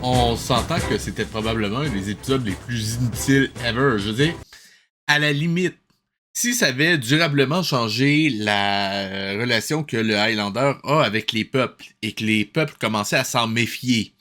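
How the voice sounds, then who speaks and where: A man's voice: medium pitch, normal speed, bright, forceful tone, soft background music. A man with a Québécois accent, hosting a casual cartoon review, exasperated and sarcastic and dropping English words into his talk. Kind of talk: casual commentary.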